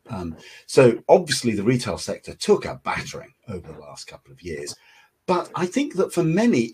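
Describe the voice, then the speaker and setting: A middle-aged man hosting a webinar over a video call, explaining in a measured way. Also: mid-range voice, slightly rough. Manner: measured, explanatory, lively intonation. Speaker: webinar host. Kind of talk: webinar moderation. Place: home office, video call.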